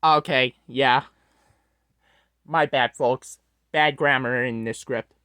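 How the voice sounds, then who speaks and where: A woman's voice: very deep voice, steady tone, takes it slowly, a bit bright, smooth, dry recording, clean studio sound. A woman casually interjecting with prompts and corrections, as if steering someone through a script.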